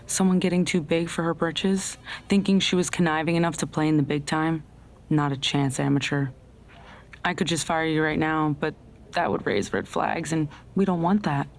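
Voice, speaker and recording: A woman's voice: low voice, moderate pace, slight background noise. A woman playing a smug, scheming female wrestling general manager, a villain in a video game cutscene, with a mocking, condescending delivery. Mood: taunting, gloating.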